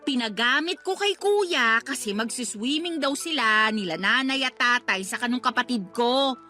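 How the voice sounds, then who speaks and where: A woman's voice: a little high, animated, moderate pace, bright, forceful tone, faint music behind. A woman playing a defiant, irritable fiancée in a Tagalog radio romance drama, with snappy, whiny retorts. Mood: annoyed, defensive. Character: defiant, irritable, self-centred fiancée quarrelling with boyfriend.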